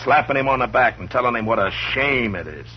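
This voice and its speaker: An actor playing a stern, steady frontier marshal, firm and commanding, in a 1950s radio drama. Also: male voice, mid-range voice, fast, close to an everyday voice. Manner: firm and commanding, with dry chuckles. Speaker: lead. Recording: muffled old radio broadcast, faint hiss.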